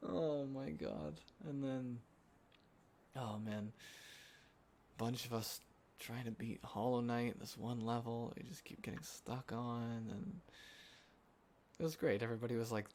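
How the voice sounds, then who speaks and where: A man acting as a sentimental young guy catching up with a close friend, talking in a casual, halting, soft-hearted ramble for an intimate roleplay audio. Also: mid-range voice, close to an everyday voice. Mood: amused, fond. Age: young adult. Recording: clear background ambience noise. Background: quiet city ambience.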